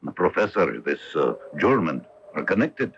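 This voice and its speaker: A man's voice: low-pitched, very animated, normal speed, fairly bright tone, heavily acted. A man playing an earnest, self-assured local police inspector in a radio mystery drama, blunt in manner. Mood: confident. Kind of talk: old-time radio mystery drama acting. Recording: dull, noisy lo-fi AM radio broadcast.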